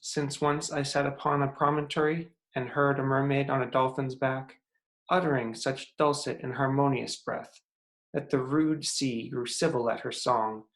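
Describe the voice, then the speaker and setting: A man's voice: medium pitch, natural voice. A man playing a jealous, commanding fairy king in a theatrical, declamatory reading of Shakespearean verse. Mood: brooding, commanding. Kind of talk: Shakespearean verse table read. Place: remote video call reading.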